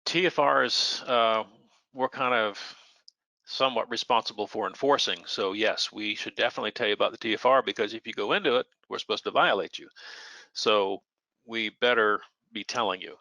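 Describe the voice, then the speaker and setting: An American man speaks as an expert controller taking questions, in a slightly low, clearly bright voice. The sound is dry and close, and he explains things in plain, conversational language. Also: normal speed, no room sound, low-quality recording. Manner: plainspoken, illustrates points with imagined radio exchanges. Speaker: air traffic controller answering questions.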